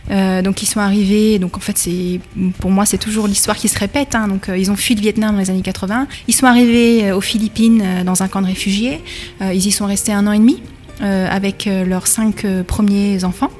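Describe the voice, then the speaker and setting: A woman's voice: mid-range voice, steady tone, a little fast, darkish tone, soft background music. A woman in her thirties from France, a guest in a radio interview, talking hesitantly with many fillers and restarts. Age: 30s. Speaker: guest, bakery school manager. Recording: long reverb.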